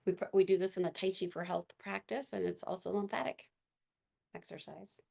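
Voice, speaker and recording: Gentle class leader, woman, raspy and gravelly, lowish voice, easygoing guidance. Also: fairly even intonation. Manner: soft, gentle, unhurried guidance with fillers. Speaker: movement and meditation class leader. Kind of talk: guided movement class instruction.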